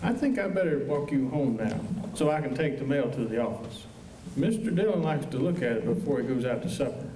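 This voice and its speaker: A man's voice: mid-range voice, animated, a little fast, natural voice. A man acting a polite, bashful, folksy marshal's helper in a western radio drama, speaking hesitantly. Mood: hesitant, obliging. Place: high school auditorium stage. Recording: echoey auditorium, distant mic, faint noise.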